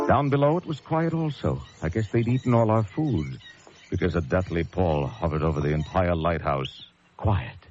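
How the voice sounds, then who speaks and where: An actor playing a haunted former lighthouse keeper, telling a horror story with suspenseful pauses in a radio drama. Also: male voice, low-pitched. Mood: ominous, hushed. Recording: muffled old radio broadcast over loud music.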